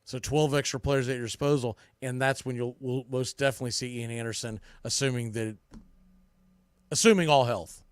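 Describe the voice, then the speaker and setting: A man's voice: medium pitch, steady tone, a little fast, clean tone, no echo, clean studio sound. A man hosting a baseball podcast, giving off-the-cuff preview commentary and thinking aloud as he corrects himself.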